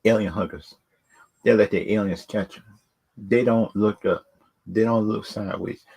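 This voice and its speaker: A man's voice: fairly low pitch, steady tone, moderate pace, vocal fry, a little mellow, slightly rough. A man giving casual, unscripted opinions as a video host, rambling and often repeating words and stock phrases. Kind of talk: casual unscripted opinion talk on films and games.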